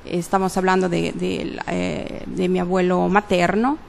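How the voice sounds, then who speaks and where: A woman's voice: fairly low pitch, flat delivery, a little slow, creaky, darkish tone, a little hiss or hum. A woman answering interview questions casually, hesitant, with many fillers and self-corrections. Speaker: interview guest, literature graduate.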